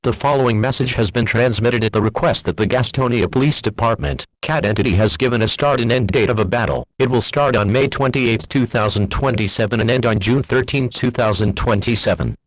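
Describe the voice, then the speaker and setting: A man's voice: medium pitch, fairly quick, pauses often, very noisy. A man reading scripted emergency alert messages as an announcer.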